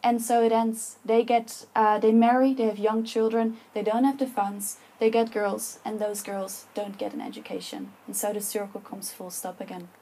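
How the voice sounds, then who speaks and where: A woman's voice: mid-range voice, level tone, normal speed, few pauses, dark tone. A young woman with a Dutch accent gives an earnest, persuasive talk to an audience, with frequent fillers and restarts. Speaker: speaker giving a talk.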